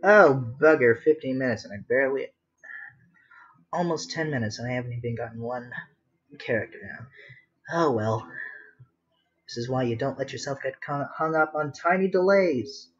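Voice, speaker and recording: A woman's voice: rumbling low, highs cut off. A woman chatting casually and musing to herself as she hosts a live sketching session. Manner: musing to self, unhurried. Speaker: artist hosting a live drawing session. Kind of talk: casual chat while sketching.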